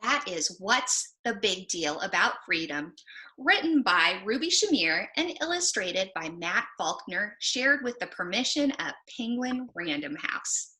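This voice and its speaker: A woman library presenter giving an expressive book talk for children, explaining and posing questions as she goes. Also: mid-range voice, a little slow, low-quality recording.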